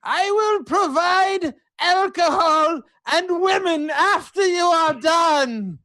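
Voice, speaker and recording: Man playing a very high, pompous young aristocrat. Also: very animated, slow, gravelly, sharp tone, no echo, exaggerated voice. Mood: emphatic. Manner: pompous, clipped formal phrasing, emphatic. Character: haughty, pleading young male aristocrat adventurer.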